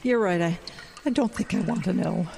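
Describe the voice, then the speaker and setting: A woman's voice: fairly low pitch, moderate pace, dark tone. An actress playing a ditzy, trusting middle-aged mother in a comedic audio drama, airy and chipper. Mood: politely oblivious. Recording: hollow reverberant room, clearly noisy.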